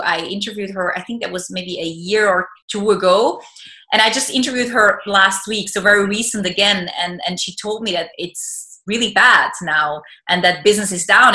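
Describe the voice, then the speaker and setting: Bright-voiced young woman on a video call. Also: medium pitch, roomy sound, poor audio. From Switzerland. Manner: chatty, laughs often, restarts. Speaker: art reporter. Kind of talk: casual video call interview. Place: living room at home. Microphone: laptop webcam video call.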